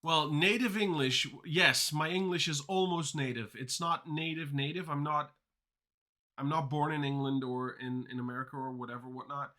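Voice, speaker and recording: A man's voice: a little high, steady tone, normal speed, bright, forceful tone. A man whose first languages are Dutch and Turkish, chatting casually on a coding livestream and answering chat questions as he goes. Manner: rambling, answers chat questions mid-talk. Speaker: streamer learning to code.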